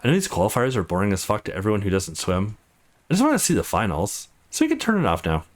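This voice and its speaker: A man voicing a tough but secretly soft young woman in a visual novel, blunt, sarcastic and reluctant. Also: fairly low pitch, huge pitch swings, quick pace, voice pushed down. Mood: relaxed.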